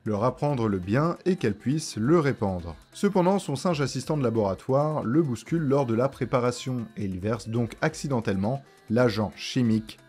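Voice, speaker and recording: A man's voice: mid-range voice, lively intonation, normal speed, hardly any pauses, a little mellow, breathy edge. A man presenting a video essay in scripted narration, with casual slang and joking asides. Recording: music behind the voice. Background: background music.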